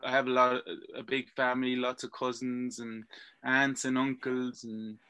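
A man from Belgium with an Irish accent, a rock climber being interviewed, talking in halting bursts full of repeats and "yeah". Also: mid-range voice, monotone, pushed and bright, clean tone. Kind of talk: casual interview chat.

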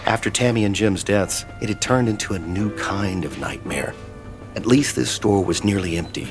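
A man's voice: fairly low pitch, moderate pace, lightly acted. A man giving somber scripted narration as the storyteller of a horror audio drama. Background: music.

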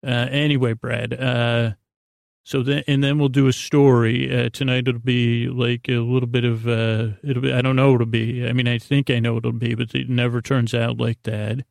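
Guy doing a rambly sleep podcast intro, gravelly, lots of stop and start, lulling, really dry recording. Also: medium pitch, flat delivery, dry recording. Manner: halting, meandering, self-interrupting, with trailing repetitions; unhurried, lulling delivery. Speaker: podcast host.

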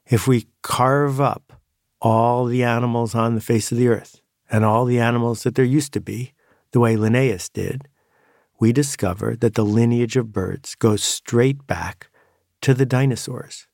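Male podcast host, gravelly, dry studio sound, reflective monologue. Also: mid-range voice, steady tone, measured pace, darkish tone, dry recording.

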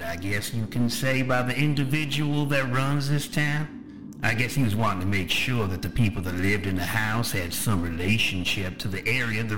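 A man's voice: fairly low pitch, natural voice. A man voicing a chatty, menacing undead rotting monster with a folksy Southern drawl, musing at length in a tabletop game. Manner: folksy, drawn-out musing monologue.

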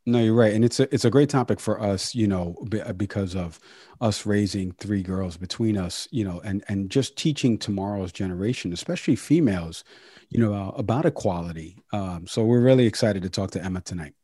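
A man's voice: fairly low pitch, brisk, mellow, breathy edge, dry recording, studio quality. A man hosting a podcast in casual, friendly chat. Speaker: podcast host.

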